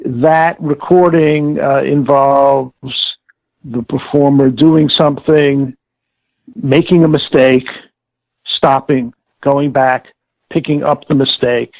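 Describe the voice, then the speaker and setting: Older guy, creaky gravelly voice, sounds like a phone interview, explains stuff in halting steps. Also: medium pitch, steady tone, no room sound. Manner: halting, enumerates points methodically. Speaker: audio director-producer, interviewee. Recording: thin phone-like line.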